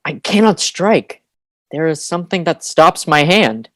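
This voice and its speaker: A woman's voice: low-pitched, animated, a little slow, fairly bright tone, no echo. A woman playing a proud, commanding boy leader in an audio play. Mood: dramatic, indignant.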